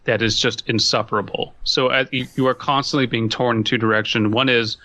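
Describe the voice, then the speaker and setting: A man's voice: mid-range voice, steady tone, fairly quick, pushed and bright, a little hiss or hum. A man co-hosting a podcast, ranting casually in an emphatic, exasperated, sweary way. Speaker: podcast co-host.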